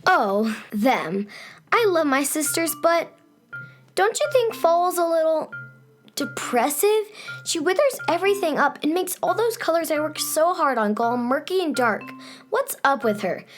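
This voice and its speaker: A woman's voice: high voice, expressive, unhurried, faint music behind. An actress playing a sunny, self-satisfied young girl who rules summer in a children's radio drama, breezy and gushing. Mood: snide, coaxing.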